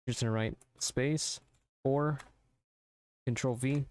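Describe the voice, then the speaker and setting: A man narrating a software tutorial informally, thinking aloud step by step into a close mic. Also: medium pitch, steady tone, takes it slowly, no echo. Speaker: tutorial presenter.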